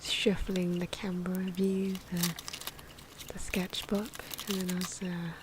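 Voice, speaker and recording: A soft-spoken woman giving ASMR drawing commentary, often slipping into a breathy whisper, with hesitant, unhurried phrasing. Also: on the low side, little pitch movement. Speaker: ASMR video creator narrating own drawing. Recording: noisy, pencil scratching and ambient sound. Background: pencil and pen noises, outdoor wind.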